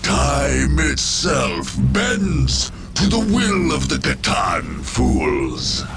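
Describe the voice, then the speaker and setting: An actor playing an ancient, malevolent undead machine lord in a video game, declaiming in a grandiose, contemptuous and ominous way. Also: male voice, medium pitch, very animated, heavily acted. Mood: menacing, scornful. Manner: slow, ominous, portentous declaiming. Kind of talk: theatrical video game villain acting.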